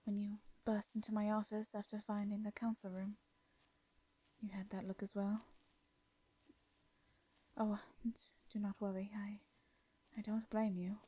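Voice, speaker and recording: A woman with an English accent voicing a gentle, anxious, centuries-old vampire in an intimate fantasy audio roleplay, speaking softly and breathily, with hesitant, formal wording. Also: medium pitch, level tone, unhurried, long pauses, husky. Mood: wounded, sorrowful. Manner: hesitant, stammering, formal old-fashioned speech.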